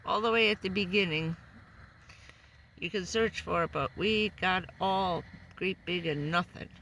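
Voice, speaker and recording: A hoarse woman who says she is losing her voice, giving a loose, chatty van-life update full of fillers. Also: fairly low pitch, unhurried, a bit bright, slight background noise, poor audio. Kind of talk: casual rambling live update. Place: living in a van.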